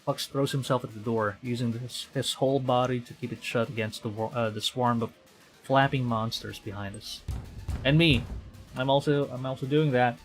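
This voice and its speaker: A man livestreaming a visual novel, reading it aloud with excitable, dramatic delivery and chatty casual asides. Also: mid-range voice, moderate pace. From Philippines. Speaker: streamer. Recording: music behind the voice. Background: game music.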